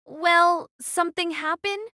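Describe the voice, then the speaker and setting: A woman's voice: extremely high pitch, fairly even intonation, slow pace, pushed and bright, heavily acted. A woman playing an earnest, bewildered young student fighter in an audio drama, hesitant and questioning.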